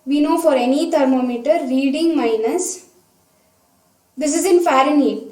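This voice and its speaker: Female teacher, high soft-toned voice, methodical step-by-step delivery, recorded at a distance from the mic. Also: moderate pace. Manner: methodical, talking through each step aloud. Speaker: science teacher. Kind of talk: step-by-step worked problem explanation. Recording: roomy echo, distant microphone.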